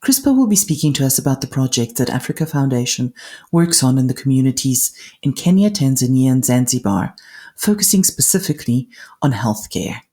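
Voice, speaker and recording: A woman's voice: a bass voice, sing-song, a little fast, dark tone. A woman hosting a podcast interview, welcoming her guest and asking friendly, polite questions with some fillers. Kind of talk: hosted interview.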